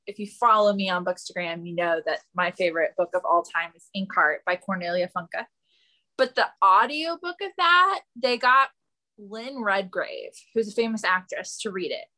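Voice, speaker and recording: A woman's voice: medium pitch, bright, forceful tone, low-quality recording. A woman guest on a podcast, chatting in an enthusiastic, informal way. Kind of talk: casual chat.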